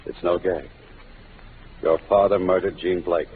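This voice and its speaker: An actor in a 1940s radio drama playing a confident, wisecracking detective with a dry, clipped delivery. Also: male voice, low-pitched, fairly even intonation, a little slow. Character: hard-boiled wisecracking male detective. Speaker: lead of a radio mystery. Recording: tinny old radio broadcast with music.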